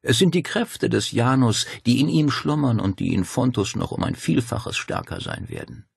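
A male narrator acts an unruffled cult master in an audiobook, declamatory and smugly certain. The voice is lifted above plain narration, with a husky grain. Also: medium pitch, sing-song, moderate pace, no echo, clean studio sound, voice pushed up, heavily acted. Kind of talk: dramatic audiobook dialogue.

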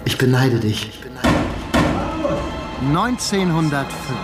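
A man's voice: medium pitch, very animated, slow pace, loud music behind the voice. An actor in a radio play as a familiar, teasing male comrade prodding an old friend. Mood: teasing, envious. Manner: chummy, prodding. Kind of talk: radio play acting, dialogue.

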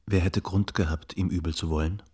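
Deep, very husky, sober investigator. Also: male voice, steady tone, a little fast, a little mellow. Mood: sober questioning. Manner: matter-of-fact, questioning. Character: sober, methodical male investigator. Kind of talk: German radio drama acting.

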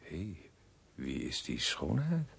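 A man's voice: low-pitched, huge pitch swings, slow pace, creaky, slightly rough, exaggerated voice. An actor playing a sardonic, put-upon male police detective who grumbles dryly in a radio drama. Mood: dry, resigned. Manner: dry, sarcastic, put-upon.

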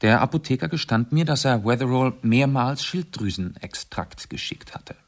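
An actor playing an urbane, self-assured aristocratic amateur sleuth in a German radio play, explaining precisely and theatrically. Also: male voice, medium pitch, lively intonation, moderate pace. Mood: composed, satisfied.

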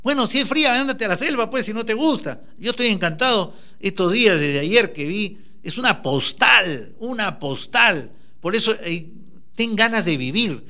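A man's voice: high voice, animated, normal speed, pushed and bright. A man giving a motivational talk, emphatically urging the listener on and addressing them directly. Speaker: motivational speaker.

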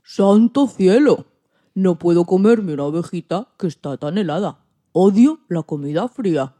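A woman acting a grumpy, hungry old male wolf with a soft heart in a children's radio play, theatrical and full of exclamations. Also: on the low side, animated, moderate pace. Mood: exasperated.

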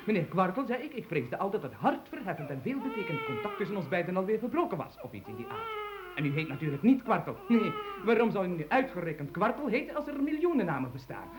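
A man acting a fussy, pompous gentleman in an old radio play, wordy and excitable, proud of his notebook. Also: high-pitched, fast talker, noisy background. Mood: flustered.

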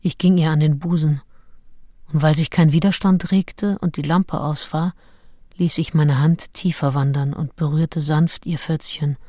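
An actress playing a self-assured, calculating nineteenth-century seducer who narrates her diary in a radio play, wry and deliberate. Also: low voice, steady tone, mellow, dry recording, clean studio sound. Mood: intimate. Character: self-assured, calculating female seducer and diarist, early 1800s.

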